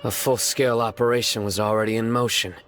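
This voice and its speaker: An actor playing a stern young desert judge who speaks in a calm, grave, matter-of-fact way. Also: male voice, lowish voice, fast talker, soft background music, natural voice. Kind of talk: game cutscene voice acting. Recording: game score playing under voice.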